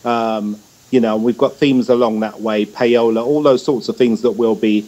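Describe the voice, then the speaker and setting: A man speaking as a talk show guest, explaining things in a casual, measured way. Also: mid-range voice, steady tone, dark tone, muffled recording. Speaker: guest, music campaigner.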